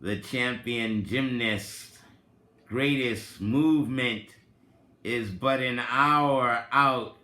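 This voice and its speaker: Very slow, dramatic man reading aloud. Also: mid-range voice, bright, forceful tone. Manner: theatrical, deliberate, drawn-out phrasing with long pauses. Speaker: reader and commentator, presenter of the recording. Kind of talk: dramatic reading with informal asides. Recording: roomy, echoing sound.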